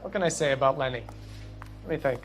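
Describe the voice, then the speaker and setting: An actor playing a sardonic, menacing 1940s gangster boss with an American accent, needling in a dramatic video game cutscene. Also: male voice, medium pitch, fast, faint music behind, close to an everyday voice. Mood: mocking, menacing.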